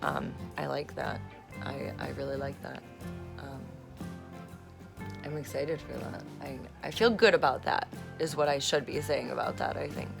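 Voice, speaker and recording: A woman vlogging at home on her phone, her voice hoarse and rough, chatting in a meandering way with lots of fillers and casual swearing. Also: rumbling low, moderate pace, music in the background. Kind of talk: casual rambling vlog chat. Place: at home, in a room being turned into an office. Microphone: phone camera recording herself.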